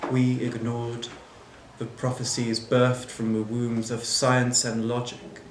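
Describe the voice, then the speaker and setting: A man acting a bitter, disillusioned manager in a scripted dramatic monologue, solemn and deliberate, pausing between short phrases. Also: medium pitch, monotone, close to an everyday voice. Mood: grave conviction. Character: bitter, disillusioned airport manager defending replacing people with machines. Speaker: actor performing a monologue. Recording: roomy echo, clear background noise.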